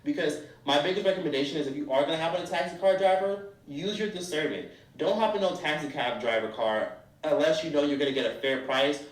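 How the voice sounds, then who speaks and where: A man giving blunt, animated travel advice in a casual monologue, sometimes acting out strangers' voices. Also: fairly high pitch, fast. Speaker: travel vlogger. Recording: roomy echo from a distance.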